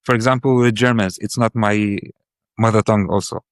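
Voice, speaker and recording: A man whose first language is Arabic, hosting a podcast and asking reflective questions in a thoughtful, searching way, with pauses and repeated words. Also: lowish voice, steady tone, no echo, clean studio sound. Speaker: podcast host.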